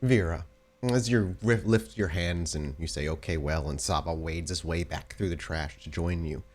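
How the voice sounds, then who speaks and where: A man's voice: sits low, moderate pace, a little mellow. A man narrating a tabletop roleplay game as game master, descriptive and rephrasing as he goes.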